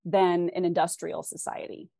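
Female author guest, West Coast American accent. Low-ish voice, dry close sound, articulate conversational explanations. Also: level tone, normal speed, creaky, warm tone, no room sound. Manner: thoughtful, articulate, explains through rhetorical questions.

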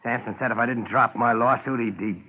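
A man's voice: medium pitch, a little fast, sharp tone, dull audio, slight background noise. A man playing an embittered, hot-tempered songwriter, tense and blustering, in a 1950s radio drama. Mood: bitter. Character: hot-tempered, embittered starving male songwriter, defiant crackpot. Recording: old 1950s radio recording.

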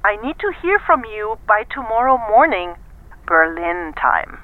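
An actress playing a brisk, persuasive corporate executive in an audio drama, firm and businesslike, heard through a telephone filter. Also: female voice, mid-range voice, sing-song, dry recording. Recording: dry, narrow-band phone line.

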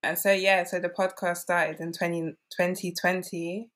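A woman's voice: on the low side, little pitch movement, moderate pace, a bit bright. A woman, telling stories casually as a podcast guest and peppering her speech with "like". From England. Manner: chatty, peppered with "like". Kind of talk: casual conversational storytelling.

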